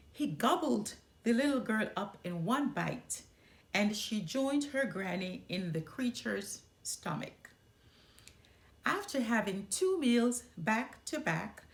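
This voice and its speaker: A woman narrating a children's story as a storyteller, expressive and deliberate. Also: medium pitch.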